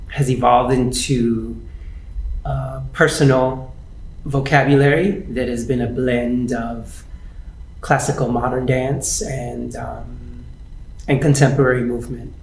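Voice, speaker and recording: A man from the Bronx, a dancer and choreographer, speaking slowly and thoughtfully with pauses in an interview. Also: mid-range voice, steady tone, creaky. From the Bronx, New York. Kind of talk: interview talk. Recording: roomy room with faint ambience.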